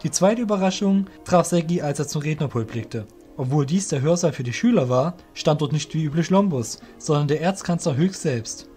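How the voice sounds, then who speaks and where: A man narrating a scripted fantasy audio drama as a storyteller, with measured delivery. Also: on the high side, expressive, warm tone, soft background music.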